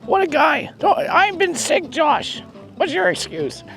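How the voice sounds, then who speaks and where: A woman with a croaky, failing voice chats to camera on a road trip. She jokes and mocks herself cheerfully. Loud music runs behind her talk. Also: high voice, a little slow. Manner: joking, animated, self-mocking. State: hoarse, losing her voice. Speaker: travel vlogger. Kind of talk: casual on-camera vlogging.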